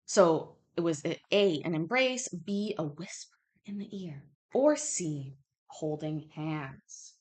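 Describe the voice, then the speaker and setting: A woman's voice: low-pitched, animated, slow pace, darkish tone. Candid, sweary woman hosting trivia. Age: 30s. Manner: candid, sweary, self-interrupting. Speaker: host of a live online trivia chat. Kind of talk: casual livestream chat, reading quiz questions aloud.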